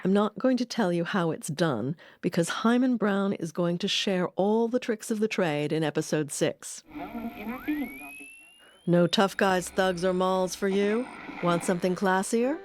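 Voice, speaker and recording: A woman's voice: fairly low pitch, a little slow, slight background noise. A woman delivering scripted documentary narration.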